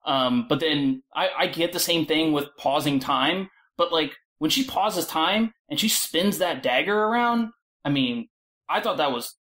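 A woman's voice: low voice, unhurried. A woman speaking informally and opinionatedly as a guest in a casual film discussion. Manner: informal, opinionated, animated asides. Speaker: podcast guest panelist.

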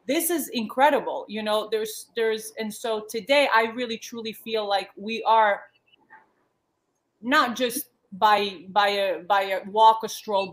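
A woman in her thirties talks earnestly over a laptop video call from her living room. She circles back often, restarting phrases and filling pauses as she speaks. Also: fairly high pitch. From born in the States, raised in Yugoslavia. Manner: earnest, many restarts and fillers. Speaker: panel guest, former model. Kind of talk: panel discussion on a video call. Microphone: laptop webcam on a video call.

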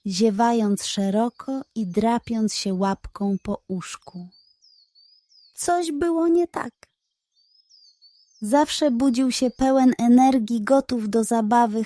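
A woman storyteller narrating a scripted children's bedtime tale in a gentle, expressive manner. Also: a little high, no room sound.

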